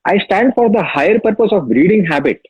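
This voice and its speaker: A man's voice: high voice, lively intonation, fairly quick, husky, dry recording. A man from Maharashtra with a Marathi-accented Indian English, telling his story emphatically as an interview guest. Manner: emphatic, storytelling, repeats key phrases. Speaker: interview guest, app founder. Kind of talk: casual interview talk. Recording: dull lo-fi sound.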